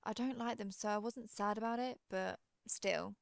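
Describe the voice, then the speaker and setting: A woman's voice: medium pitch, steady tone, moderate pace, vocal fry, no room sound, natural voice. A woman voicing a friendly, theatrical century-old vampire in an audio roleplay, chatty and playfully grand. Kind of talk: scripted audio roleplay monologue.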